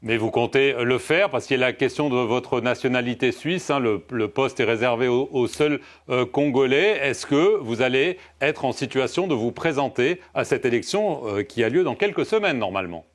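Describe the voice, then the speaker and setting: A man's voice: medium pitch, lively intonation, moderate pace, hardly any pauses. A male journalist asking formal, polite interview questions with many fillers.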